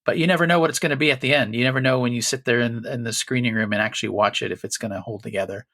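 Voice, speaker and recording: A man co-hosting a casual film podcast, rambling with frequent restarts and animated bursts. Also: medium pitch, very fast, dry recording, studio quality. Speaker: podcast co-host.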